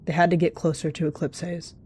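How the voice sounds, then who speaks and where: A woman's voice: low voice, flat delivery, brisk, darkish tone, breathy edge. A woman narrating an improvised roleplay story in the third person, as one of the players. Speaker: roleplayer narrating and voicing cat characters.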